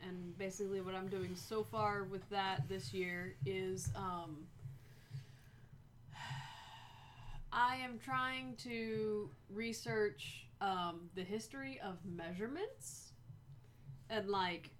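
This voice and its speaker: A woman's voice: mid-range voice, slow, pauses often, slight background noise. A woman co-hosting a casual chat, rambling with many ums as she explains things in detail.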